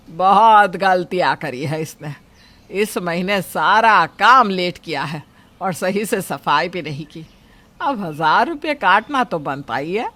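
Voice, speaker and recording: Acted older mother-in-law, scolding, commanding, bright forceful voice, story character. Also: medium pitch. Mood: annoyed. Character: bossy, fault-finding older mother-in-law woman.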